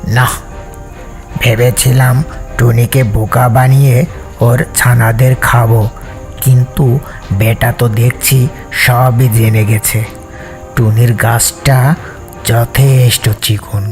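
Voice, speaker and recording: A man playing a wicked, greedy, scheming fox in a cartoon fable, with a menacing, taunting, drawn-out delivery. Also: medium pitch, close to an everyday voice.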